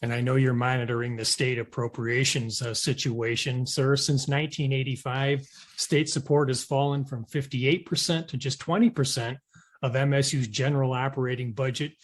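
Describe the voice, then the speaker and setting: A man hosting an interview show, reading prepared questions and scripted announcements. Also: mid-range voice.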